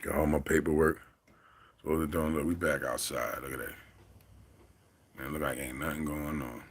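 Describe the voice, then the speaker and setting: Deep voiced guy in his 30s rambling on his vlog, laid back, chuckles a lot. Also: fairly even intonation, normal speed, slight background noise. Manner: loose and rambling, laughs often. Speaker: vlogger. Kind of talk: casual storytelling to camera.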